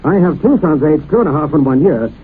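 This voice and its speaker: A man announcing an old radio advertisement, reading a scripted testimonial in a formal, measured way. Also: on the high side, lively intonation. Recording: tinny, noisy old radio recording.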